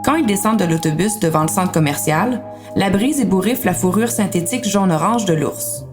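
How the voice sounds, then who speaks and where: A woman's voice: medium pitch, expressive, fairly quick, over music. A woman with a Quebec French accent reading scripted story narration.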